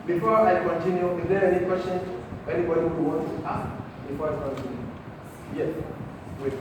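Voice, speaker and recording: Ghanaian man, mosque guide for a school group, high voice, heard from a distance with hiss behind. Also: steady tone, brisk. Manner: patient, explaining, asks "okay?" and "eh?". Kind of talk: informal teaching talk. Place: large mosque hall. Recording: echoey hall from far off, with noise.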